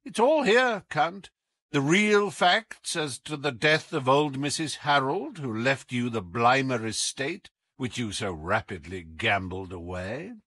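A man voicing a sharp, commanding detective in an audiobook, coolly taunting, with deliberate, teasing emphasis. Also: medium pitch, animated, dry recording. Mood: accusing. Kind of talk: audiobook character acting.

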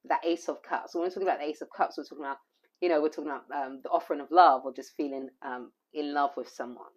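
Low-voiced hoarse woman reading tarot. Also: a little mellow. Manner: rambling, repeats phrases, many fillers. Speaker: tarot reader. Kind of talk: intuitive tarot reading to camera.

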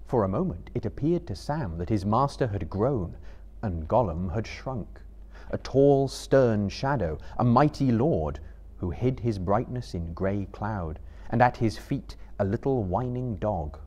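A man in his thirties giving a measured, theatrical literary narration as a live dramatic reader. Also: fairly low pitch, animated, warm tone, muffled recording. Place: seated indoors on a book-lined stage before a live audience.

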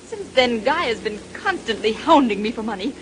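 High-strung, high-voiced actress. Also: female voice, lively intonation, normal speed, a bit bright. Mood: anguished confession. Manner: emotional, dramatic, insistent. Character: high-strung, defensive actress with a troubled past. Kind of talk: 1930s radio drama acting. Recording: noisy vintage 1930s radio recording.

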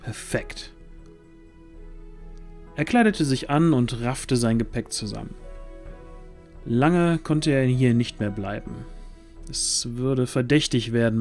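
A man's voice: mid-range voice, darkish tone. A man narrating a scripted fantasy story as the storyteller.

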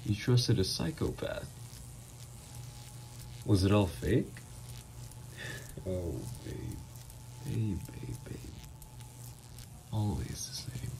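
Low slow creaky voice, guy doing a teasing psycho villain ex who keeps calling you babe. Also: noisy background, exaggerated voice. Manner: teasing, calls listener babe. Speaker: roleplay voice actor. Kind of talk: ASMR roleplay audio.

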